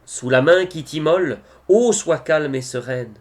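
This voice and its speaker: A man reciting devotional poetry in a solemn style, with measured, expressive phrasing and pauses. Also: a little high.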